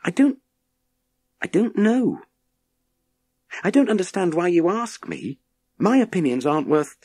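A man voicing an eager, earnest young boy who speaks in a halting, urgent way. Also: high voice, big pitch swings, a little mellow, slightly rough, no room sound, studio quality, pitched above the performer's own voice, exaggerated voice. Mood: nervous, flustered.

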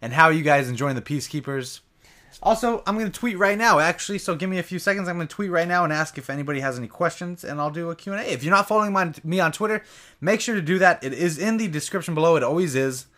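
Young guy chatting thru his gameplay, kinda raspy, slightly high voice. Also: expressive, quick pace, sharp tone. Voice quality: voice gets raspy from long sessions. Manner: chatty, casual, addresses viewers directly. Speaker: gameplay commentator. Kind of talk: live gameplay commentary.

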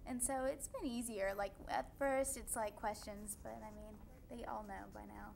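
A woman's voice: medium pitch, fairly even intonation, moderate pace, gravelly, darkish tone. A young woman from Kentucky, a student answering interview questions casually with frequent "like" fillers, on a TV studio set. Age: young adult. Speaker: student guest.

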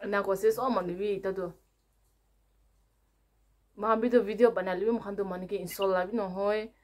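Young female vlogger, mid-pitched voice, casual phone-prank conversation, recorded close on a phone at home. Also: steady tone, moderate pace. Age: young adult. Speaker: vlogger making prank calls. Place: small room at home, indoors.

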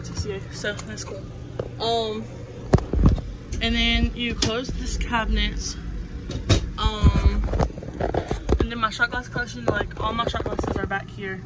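Young woman, high pitch, little intonation, casual rambling show-and-tell, heavy noise behind the voice. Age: young adult. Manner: rambling, offhand. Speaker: vlogger giving a home tour. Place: inside a camper. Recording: noisy handheld recording, steady background noise.

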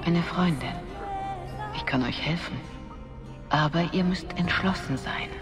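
An actress playing a calm, solemn older woman mentor, speaking deliberately and hushed in a German fantasy game. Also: on the low side, lightly acted. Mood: grave. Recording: clear music behind the voice.

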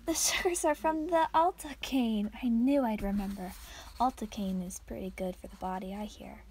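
A woman playing a friendly, absent-minded part-dragon traveler in an ASMR roleplay, soft-spoken, chatty and musing. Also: fairly high pitch, normal speed, lightly acted. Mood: casual, musing. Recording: faint noise, clicking sounds, outdoors.

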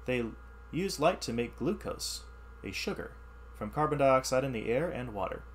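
A man reading a science ebook aloud as a teacher, pausing to explain the text in simpler words. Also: mid-range voice, animated, a little hiss or hum. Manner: reads text then explains in plain words. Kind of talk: read-aloud lesson with asides.

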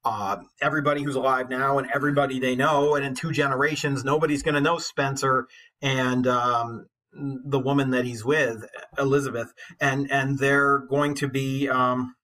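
A man's voice: mid-range voice, level tone, moderate pace, sharp tone, poor audio. A man in his forties, an English teacher, giving an informal literature lecture in an earnest, conversational, emphatic way.

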